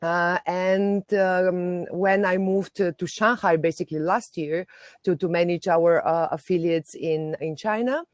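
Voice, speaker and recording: A woman's voice: on the low side, fairly even intonation, unhurried, no echo, clean studio sound. A woman from Bulgaria speaking on an online conference panel, introducing her finance career with many hesitant fillers.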